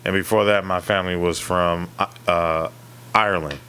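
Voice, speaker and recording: A man's voice: low voice, steady tone, moderate pace, sharp tone, clear, resonant tone. A man co-hosting a podcast, talking casually and feeling his way through a point. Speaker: podcast co-host. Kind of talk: casual discussion.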